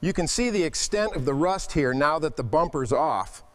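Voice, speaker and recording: A man's voice: fairly high pitch, animated, normal speed, no room sound. A man giving hands-on workshop commentary as a vehicle restorer, in short instructional remarks to helpers.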